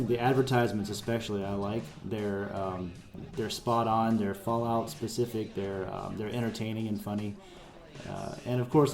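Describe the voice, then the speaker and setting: Low, mellow man narrating casually. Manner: informal, conversational. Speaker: mod creator presenting his project.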